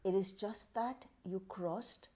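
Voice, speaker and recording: A woman leading a guided meditation in a calm, measured, deliberate way, pausing between short phrases. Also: medium pitch.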